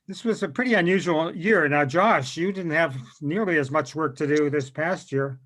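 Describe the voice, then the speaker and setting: A man with a somewhat high voice leads an informal group video call. He talks in a conversational way as moderator. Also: moderate pace, fairly bright tone. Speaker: meeting moderator. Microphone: video call microphone.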